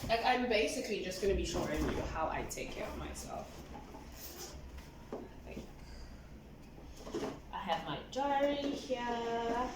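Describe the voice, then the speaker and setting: A woman's voice: a little high, expressive, unhurried, pauses often, background noise. A woman, talking through her self-care routine in a casual, rambling vlog, filling her speech with "like". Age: 20s. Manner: chatty, rambling, fills with "like", laughs often. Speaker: vlogger. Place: indoor room at home. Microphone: camera on a stand. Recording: roomy, slightly distant mic with faint ambience.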